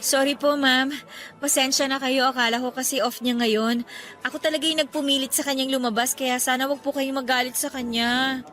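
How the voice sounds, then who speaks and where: A woman's voice: high-pitched, steady tone, fairly quick, a bit bright. A woman playing a trusting, affectionate girlfriend in a radio drama, with a conversational, questioning way of talking. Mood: polite, puzzled. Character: trusting, affectionate young girlfriend, easily hurt. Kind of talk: Tagalog radio drama acting.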